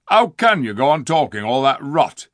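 Brief: man voicing a rude, scornful storybook dwarf, loud and sneering, lively swings in pitch. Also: normal speed, dry recording, clean studio sound. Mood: angry scorn. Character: surly gruff male dwarf, rude and scornful.

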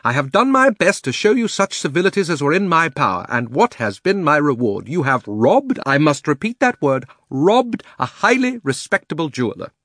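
A man voicing a pompous, aggrieved older gentleman in an audiobook, speaking formally with wounded dignity. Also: on the high side, big pitch swings, normal speed, hardly any pauses, dry recording, clean studio sound, pitched above the performer's own voice. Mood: indignant, aggrieved.

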